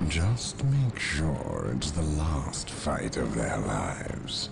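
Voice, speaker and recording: A gravelly, very deep-voiced schemer, slow and disdainful, music under. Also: male voice, wildly swooping pitch, heavily acted. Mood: cold. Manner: cold, measured, disdainful. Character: scheming human male traitor ally of orcs. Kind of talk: video game cutscene acting.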